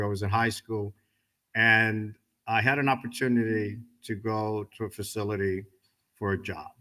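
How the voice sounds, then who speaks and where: Bright-voiced man in his fifties from the Dominican Republic. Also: fairly low pitch. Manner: animated, joking, asks the audience questions. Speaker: guest speaker at a graduation ceremony. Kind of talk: motivational speech with personal stories. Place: event hall with a live audience.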